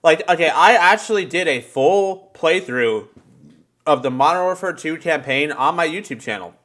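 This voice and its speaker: Higher-voiced man swearing through casual stories. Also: normal speed. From United States. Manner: profane, animated, bro slang. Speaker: podcast co-host. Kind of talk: casual podcast chat and storytelling.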